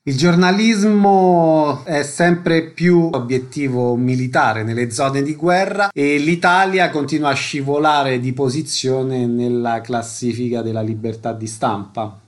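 Male radio presenter, mid pitch, scripted host delivery for interviews and links. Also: unhurried, hardly any pauses.

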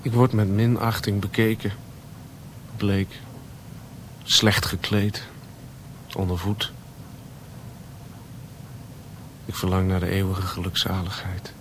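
An actor playing a restless, bitter and scheming ship's officer in a radio play. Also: male voice, deep voice, level tone, unhurried, pauses often, fairly bright tone. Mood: despairing. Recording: noisy old radio play recording.

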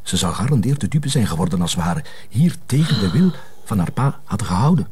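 An actor playing a matter-of-fact, eager police detective with a husky voice and Flemish accent in a TV drama. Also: male voice, lowish voice, big pitch swings, a little fast, darkish tone, heavily acted. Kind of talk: naturalistic TV drama dialogue.

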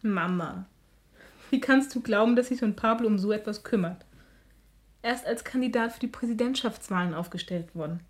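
An actress playing a headstrong, infatuated young daughter who protests earnestly in a radio drama. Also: female voice, mid-range voice, moderate pace, natural voice. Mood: defiant. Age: young adult. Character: defiant, infatuated young daughter standing up to her mother.

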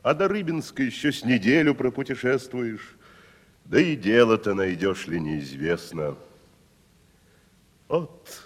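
An actor playing a proud, shabby middle-aged tragedian in a radio play, speaking pompously with declamatory dramatic pauses. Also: male voice, medium pitch, big pitch swings. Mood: weary, musing. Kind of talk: 1970s theatrical radio play acting. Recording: reverberant radio drama with faint music.

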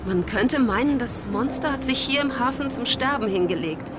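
An actress playing a woman biologist giving a measured, dramatic live eyewitness report in a radio play. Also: a little high, animated, noisy background, natural voice. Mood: watchful, tense.

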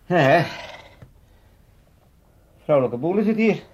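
Casting: elderly Amsterdam father for radio comedy; easygoing, cheeky, rambling, with lively swooping delivery. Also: a little high, takes it slowly, breathy edge, heavily acted. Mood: grumbling. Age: older. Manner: hesitant, roundabout storytelling. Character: old father, chatty, cheeky, easygoing gambler. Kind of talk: 1980s comic radio play acting.

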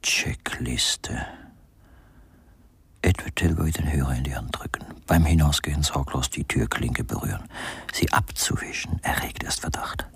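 An actor playing a coldly methodical, mocking murderer in a radio crime drama, speaking deliberately and insinuatingly, often dropping to a murmur. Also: male voice, rumbling low, vocal fry, a bit bright, husky, dry recording, in a whisper, full character voice. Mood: murmured, calculating. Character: coldly methodical murderer, American writer husband, mocking and controlled. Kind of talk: German radio crime drama acting with interior monologue.

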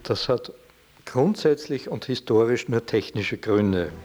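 A man's voice: medium pitch, expressive, slow, a little mellow, slight background noise. A man explaining at length as an interviewed psychology expert.

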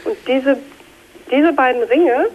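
A woman of about thirty calling a phone-in with a slight cold, explaining her story carefully in a measured way. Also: high-pitched. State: has a cold, slightly scratchy voice. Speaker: phone-in caller. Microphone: telephone. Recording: tinny narrow phone line.

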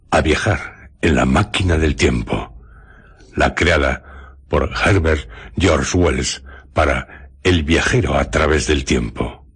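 A man narrating a radio mystery programme in a solemn, theatrical, suspenseful manner with dramatic pauses. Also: a bass voice, fairly bright tone, breathy edge. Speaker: radio show host and narrator. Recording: music behind the voice. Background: background music.

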